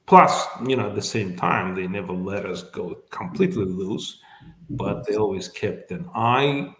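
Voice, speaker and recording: Middle-aged man from Azerbaijan, low-pitched. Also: reverberant. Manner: thoughtful, many fillers and restarts. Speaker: immigration lawyer, guest. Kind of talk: casual interview.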